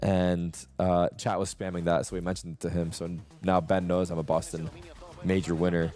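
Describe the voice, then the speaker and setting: A man's voice: low-pitched, level tone, moderate pace, a little mellow, faint music behind. A man streaming, chatting casually with a co-host, laid-back and rambling as he reacts to a match. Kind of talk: casual chat.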